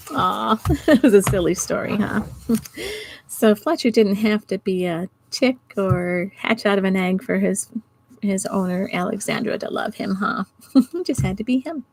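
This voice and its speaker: A middle-aged or older woman reading a storybook to children, expressive and giggly, pausing to chat with her listeners. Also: medium pitch, gravelly, a little hiss or hum. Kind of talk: storytime read-aloud for children. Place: small indoor home office.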